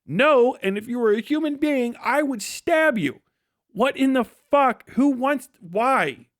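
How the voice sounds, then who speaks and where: A man's voice: very high-pitched, lively intonation, takes it slowly, no room sound, studio quality. A man chatting casually on a livestream about his work, rambling and swearing heavily in a sardonic, exasperated way. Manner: heavily profane. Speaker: livestreamer and video creator giving a work update.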